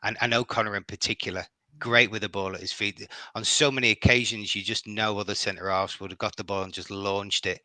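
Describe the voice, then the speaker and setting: Scouse man, middle-aged, guesting on a fan podcast; bright tone, stumbling repeats, dry close recording. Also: on the low side, fairly even intonation, brisk, no echo. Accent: Liverpool. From Liverpool. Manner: hesitant, repeats words, warm praise. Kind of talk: casual podcast chat.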